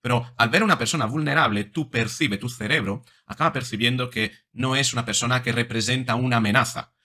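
A man's voice: mid-range voice, quick pace, bright, forceful tone. A man in his forties giving explanatory, analytical commentary as a psychologist, often checking in with short tag questions, close on a desk microphone. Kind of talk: analytical commentary on body language. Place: small room indoors. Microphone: desk microphone close in front.

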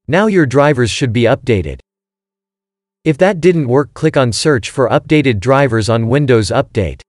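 A man's voice: mid-range voice, lively intonation, a little slow, no room sound, clean studio sound. A man reading scripted step-by-step instructions in short commands, as a tutorial narrator. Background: background music.

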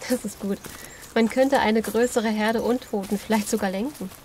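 Actress as female survivor, hopeful, inquisitive, fairly high pitch, audio drama. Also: fairly even intonation, moderate pace, a little mellow, slight background noise, close to an everyday voice. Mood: questioning.

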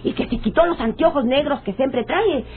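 An actress playing a desperate, sharp-tongued rural cousin with a Mexican accent, pleading in melodramatic radionovela style. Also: female voice, high-pitched, moderate pace, lightly acted. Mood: urgent, exasperated. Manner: insistent, imploring, melodramatic. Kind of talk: radionovela acting. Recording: dull lo-fi old radio drama recording.

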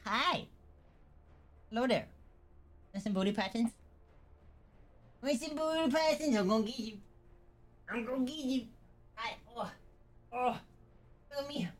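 Woman cooing slowly at her dog, short repeated phrases, roomy and off mic. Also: on the high side. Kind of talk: playful baby talk to a pet dog. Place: indoor room, away from the mic. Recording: roomy, distant from mic, music behind.

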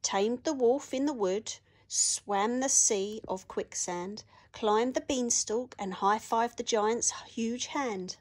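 A woman reading a children's picture book aloud with expressive, sing-song rhyming delivery. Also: on the high side, takes it slowly, few pauses.

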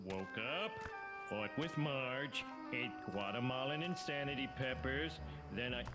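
A man voicing a dim, excitable middle-aged cartoon everyman with a thick, goofy, dopey voice, given to exclamations. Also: medium pitch, unhurried, natural voice. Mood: muddled. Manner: goofy, exclamatory, swinging from mumbling to yelping. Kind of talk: animated comedy acting. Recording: loud music behind the voice.

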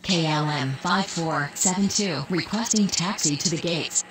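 A woman's voice: lowish voice, a little slow, crowd noise, close to an everyday voice. A woman playing an air traffic radio voice in a game, speaking clipped, procedural phraseology in a businesslike way. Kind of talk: scripted aviation radio phraseology.

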